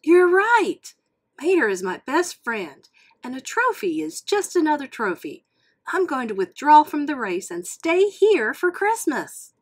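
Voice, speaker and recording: A woman's voice: a little high, animated, unhurried, fairly bright tone. A woman voicing an earnest, friendly young male race car in a storybook read-aloud. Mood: warm.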